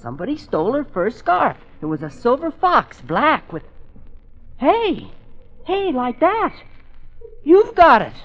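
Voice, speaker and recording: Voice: high voice, wildly swooping pitch, a little slow, long pauses, fairly bright tone, exaggerated voice. A performer playing an eager, whiny young boy sidekick in a 1940s radio comedy-mystery, with earnest slangy speech. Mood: excited, urgent. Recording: muffled vintage radio recording.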